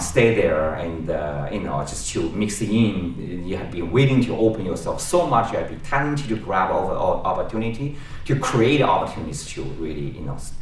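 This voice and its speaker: A middle-aged man from China, a native Chinese speaker with a Chinese accent, introducing a guest at a university talk in halting English full of restarts and fillers. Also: rumbling low. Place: lecture room. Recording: roomy echo, distant mic, faint background noise.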